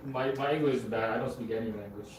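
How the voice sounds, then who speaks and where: A man co-hosting a live stream, laid-back and dry, swearing casually in relaxed chat. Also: mid-range voice, fast talker, roomy sound, far from the mic, a little hiss or hum.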